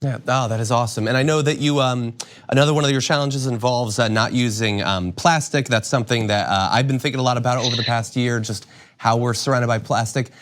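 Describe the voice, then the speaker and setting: A man's voice: mid-range voice, steady tone, fairly quick, a bit bright. A man hosting a casual interview, feeling his way through his questions in a meandering, hesitant manner. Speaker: show host interviewing a guest.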